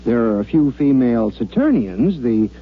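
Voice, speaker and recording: A timid, polite little scientist in vintage radio drama. He speaks earnestly and hesitantly, with lively rises and falls at a middling pitch. The recording is muffled, with faint noise. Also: normal speed. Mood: earnest, matter-of-fact. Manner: earnest, hesitant, prim and courteous. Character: mild, polite, shy little bespectacled male geologist. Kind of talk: 1950s radio drama acting. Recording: dull, noisy old radio recording with hum.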